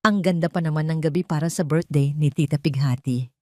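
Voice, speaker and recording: A woman's voice: low-pitched, a little fast, a little mellow, dry recording, clean studio sound, natural voice. A Filipino woman voicing a glamorous scheming mistress in an audio drama, cold, taunting and falsely sweet. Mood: coolly sweet. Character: haughty, scheming glamorous mistress, sugary and mean.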